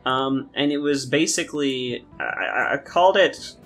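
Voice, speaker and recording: Halting young man in casual chat. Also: mid-range voice, fairly bright tone. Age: young adult. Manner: rambling, halting, repeats words. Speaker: podcast guest and game maker. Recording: music playing under the talk. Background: guitar music.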